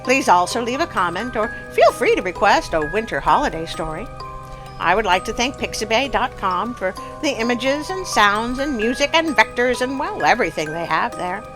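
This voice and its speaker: A woman storyteller and channel host with an expressive, dramatic delivery, reading scripted stories for children. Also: a little high, normal speed, over music, low-quality recording.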